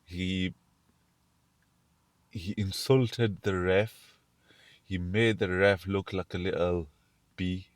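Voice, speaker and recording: A man, sounding croaky from being ill, hosting his own show and rambling casually about wrestling with frequent restarts. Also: low voice, steady tone, slow pace, pauses often, no room sound. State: croaky from illness. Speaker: show host. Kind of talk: casual wrestling commentary monologue.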